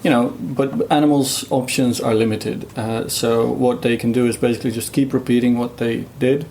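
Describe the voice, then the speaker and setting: Gravelly Dutch-native man explaining. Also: medium pitch, steady tone, moderate pace, a little mellow, slight background noise. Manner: thoughtful, explains with many fillers and restarts. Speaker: guest researcher. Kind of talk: conversational explanation.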